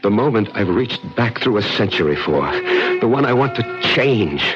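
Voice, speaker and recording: Low-voiced, haunted radio drama hero. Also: male voice, very animated. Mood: brooding, intense. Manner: earnest, dramatic, deliberate. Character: brooding, impassioned man haunted by past love. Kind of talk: old-time radio drama acting with dramatic narration. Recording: dull old radio recording with music behind.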